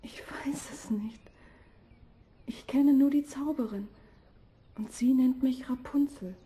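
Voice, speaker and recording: An actress playing a gentle, innocent young captive girl in a fairy-tale audio drama. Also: sits high, slow pace, husky, exaggerated voice. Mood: shy wonder.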